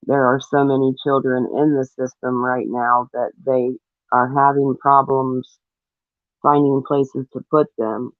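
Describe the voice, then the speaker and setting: A man reading a viewer question and answering it in a measured, explanatory way. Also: mid-range voice, little pitch movement, dry recording. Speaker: commentary video co-host answering viewer questions. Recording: thin phone-like lo-fi audio.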